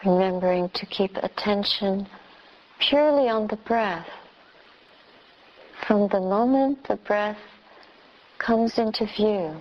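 A woman who is a Buddhist nun leading a guided meditation, speaking in short phrases with long pauses. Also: medium pitch, highs cut off.

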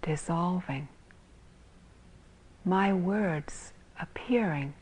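A woman teaching meditation in a soft, breathy, husky voice, calmly and gently guiding with long pauses between phrases. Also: fairly low pitch. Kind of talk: guided meditation.

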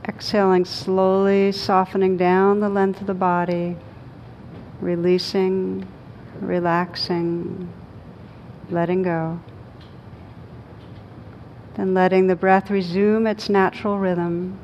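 A woman leads a guided meditation as a teacher, speaking slowly and soothingly with long pauses. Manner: slow, calm, soothing delivery with long pauses. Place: live group session in a room. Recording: dull lo-fi sound with rumbling noise.

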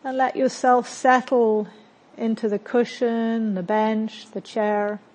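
A woman leads a guided meditation at a very slow pace. Her voice sits a little on the high side. She speaks calmly and gently, in short phrases with long, unhurried pauses. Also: poor audio. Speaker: meditation teacher. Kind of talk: guided meditation, body scan.

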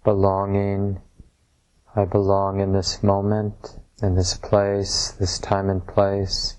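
A man with a fairly low, very even voice guides a meditation. He speaks in short, measured phrases and leaves space between them, teaching in a gently instructive way. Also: poor audio. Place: room at a retreat center.

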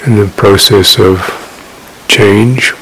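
Man, low voice, meditation teacher, very slow halting delivery, long pauses, clear background hiss. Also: fairly even intonation, fairly bright tone, rough recording. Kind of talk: unscripted guided meditation.

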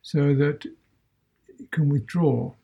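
A man teaching a guided meditation as a Buddhist teacher, speaking in short measured phrases with long pauses between them. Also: fairly high pitch, fairly even intonation, vocal fry, warm tone, breathy edge, dull audio.

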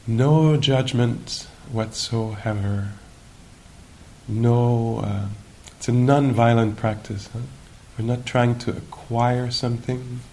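Guy leading a meditation, gravelly voice, slow gentle questions w long pauses, bit of rumbly background noise. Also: steady tone. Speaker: meditation teacher. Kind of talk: guided meditation at a retreat. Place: live at a meditation retreat. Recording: noisy live recording with low rumble.

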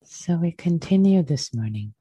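A woman teaching a guided meditation in a gentle, unhurried manner, leaving long pauses between short phrases. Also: deep voice, animated, husky. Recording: muffled, roomy sound.

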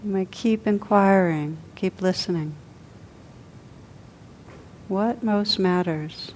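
A woman meditation teacher leading a guided meditation, speaking softly and unhurriedly with long pauses between phrases. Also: medium pitch, slight background noise.

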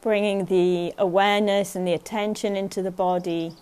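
Soft-spoken woman teaching meditation. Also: mid-range voice, flat delivery, smooth. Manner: gentle, unhurried, often checks in with "yeah?". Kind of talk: guided meditation talk at a retreat.

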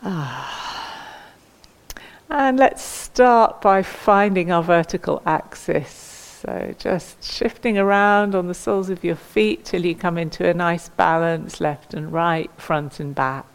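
A woman with a low-pitched voice leads a guided mindful movement practice. She speaks as a teacher, gently and without hurry. Her instructions come as invitations, with pauses left between them.

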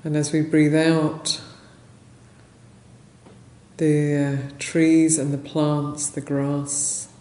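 A woman leading a guided meditation as a teacher, speaking gently and unhurriedly in short phrases with long pauses. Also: sits low, flat delivery. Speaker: meditation teacher, Buddhist nun. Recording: roomy echo, faint background noise.

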